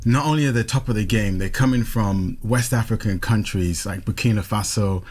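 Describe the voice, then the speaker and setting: A man from England, a radio guest talking about his drumming group, relaxed and repeating words as he thinks. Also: lowish voice, normal speed. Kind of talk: casual radio interview. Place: radio studio.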